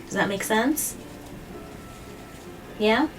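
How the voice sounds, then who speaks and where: A woman hosting a children's read-aloud, explaining things simply and gently to a child and often checking in with questions. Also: a little high, animated, very slow, music in the background. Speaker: host of a children's story read-aloud show. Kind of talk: picture-book reading and simple explanations to a child. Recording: distant mic, faint background noise.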